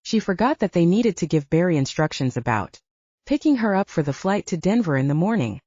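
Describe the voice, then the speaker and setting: A woman narrates fiction for an audiobook in a mellow, husky voice with very lively intonation. It is a scripted read, recorded close and dry with a slightly dull sound. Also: on the low side, fairly quick, dry recording.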